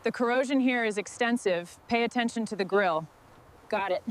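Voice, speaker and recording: A woman leading a restoration crew, giving brisk, clipped instructions. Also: fairly high pitch, slight background noise. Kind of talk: scripted work commands.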